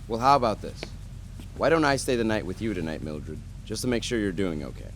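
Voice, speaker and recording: A man playing a calm, reassuring doctor with an elderly patient in an audio drama. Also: on the low side, fairly quick. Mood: attentive, calm. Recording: loud ambient noise behind the voice.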